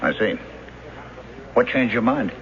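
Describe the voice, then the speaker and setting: An actor in a radio drama playing a skeptical police lieutenant, questioning in a measured, probing manner. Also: male voice, medium pitch, animated, natural voice. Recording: dull hissy old radio recording.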